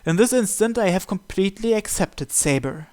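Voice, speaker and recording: Higher-voiced man narrating a visual novel. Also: lively intonation, moderate pace, a little mellow. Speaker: channel host reading visual novel text aloud. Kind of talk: dramatic first-person scripted narration.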